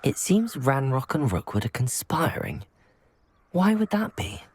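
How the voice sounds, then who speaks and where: A man voicing a young male wizard student hero in a video game, inquisitive in dialogue and shouting spells in battle. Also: medium pitch, wildly swooping pitch, measured pace, slight background noise. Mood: curious. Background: loud game music.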